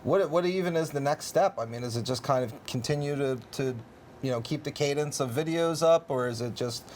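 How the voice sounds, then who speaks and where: A man hosting a relaxed gameplay chat, easygoing and quick to laugh, stumbling over repeated words. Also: fairly high pitch, slight background noise. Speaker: host, community manager.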